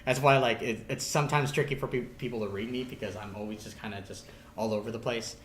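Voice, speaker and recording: A man's voice: medium pitch, steady tone, fast. A man guesting on a casual podcast, rambling earnestly and hesitantly as he chats. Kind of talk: casual chat about video games. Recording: echoey room, faint background noise.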